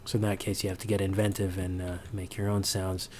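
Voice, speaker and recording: A man giving an informal sound design tutorial, halting as he thinks aloud. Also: low voice, completely flat delivery, darkish tone, slight background noise.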